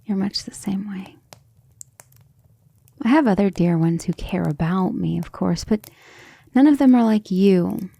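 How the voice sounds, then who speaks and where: A woman acts out an ancient vampire lady in an ASMR roleplay, low in pitch with a soft, dark timbre. She is proud yet devoted, musing tenderly in a breathy murmur that often sinks to a whisper. Her words come out refined and intimate, edged with vocal fry. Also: measured pace, pauses often, dry recording. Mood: adoring, wistful. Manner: affectionate, refined, hesitant musing.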